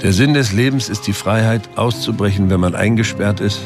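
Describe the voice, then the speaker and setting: A man's voice: lowish voice, a little fast, natural voice. An actor playing an earnest East German man who dreams of traveling, heard in film clips. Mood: wistful. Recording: film clip with music underneath.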